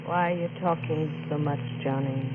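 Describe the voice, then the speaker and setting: An actress playing an eerie, calm young woman, a ghostly passenger in a 1950s radio thriller, speaking slowly in sparse phrases. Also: low voice, close to an everyday voice. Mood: softly insistent. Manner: slow, sparse, echoing his words. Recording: noisy, tinny 1950s radio recording.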